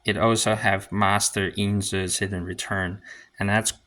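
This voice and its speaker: A man giving a casual hands-on demonstration of audio gear, thinking aloud in short, halting phrases. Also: lowish voice, little pitch movement, bright, forceful tone.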